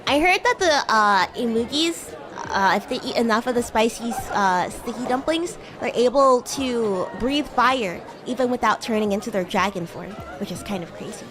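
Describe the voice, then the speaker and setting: Woman as young female demon student: bratty, high, very animated, improvised stream roleplay over music. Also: natural voice. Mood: chatty, playful. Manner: teasing, giggly, animated, halting and questioning. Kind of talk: improvised in-character livestream roleplay chat. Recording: music and crowd murmur behind. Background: music and crowd ambience.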